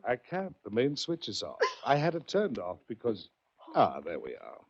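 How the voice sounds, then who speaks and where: Sly, gravelly, smug radio schemer. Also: male voice, medium pitch, wildly swooping pitch. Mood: calmly mocking. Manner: mocking politeness, deliberate, precise. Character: sly, smug male puzzle-master taunting his fellow passengers. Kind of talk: 1940s radio mystery acting. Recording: dull old radio recording.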